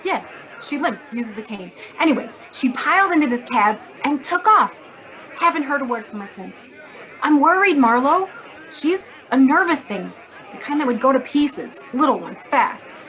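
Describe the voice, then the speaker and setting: A sardonic woman editor in a noir radio play. The voice is fairly high, clipped and blunt, with some crowd murmur behind it. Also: very animated, moderate pace, a bit bright, rough recording. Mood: brisk, worried. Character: brisk, sardonic, worried female magazine editor. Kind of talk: hard-boiled radio drama acting.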